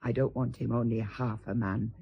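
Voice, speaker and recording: A woman playing a bold, possessive, teasing mistress in a radio drama, with a theatrical, earthy manner and a husky, creaky voice. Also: a bass voice, level tone, normal speed, lo-fi sound, full character voice. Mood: proud, frank.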